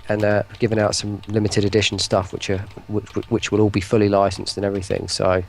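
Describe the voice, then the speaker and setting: A man chatting casually as a guest on a livestream, with a hesitant, halting delivery. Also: fairly low pitch, monotone, vocal fry, slight background noise. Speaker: guest, voice pack producer.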